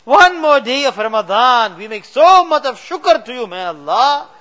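Sex: man. Style: fervent pleading prayer, high bright voice, dull recording. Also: very animated, normal speed. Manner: fervent, pleading, with constant refrains of the divine name. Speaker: imam leading supplication. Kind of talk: impassioned late-night prayer supplication.

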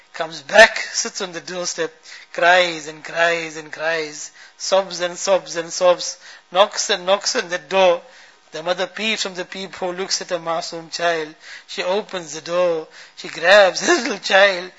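A man leading an emotional supplication, chanting Arabic verse and pleading fervently, each refrain trailing off to a hush. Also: sits high. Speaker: prayer leader. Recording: dull lo-fi recording.

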